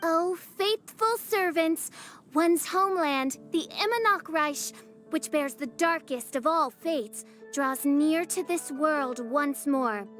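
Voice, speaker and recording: A woman's voice: high voice, takes it slowly, a bit bright, soft background music. A woman voicing a teenage girl who acts the grandiose gothic princess in a video game, pompous, archaic and melodramatic. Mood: solemn, grandiose.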